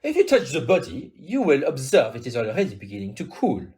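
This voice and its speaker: A man's voice: medium pitch, huge pitch swings, fairly quick, a little mellow. A courteous Belgian detective, probing and sure of himself, with a French-flavored Belgian accent. His formal, exact phrases leap dramatically up and down in a mid-range voice, sprinkled with French interjections in the theatrical manner of an audio play. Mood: probing, insistent.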